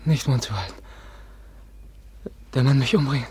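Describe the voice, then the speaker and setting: An actor playing a frightened, gravely wounded, childlike young man in a German radio play, gasping out broken fragments. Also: mid-range voice, normal speed, darkish tone, no room sound. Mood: terrified, pleading. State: weak, delirious, gasping.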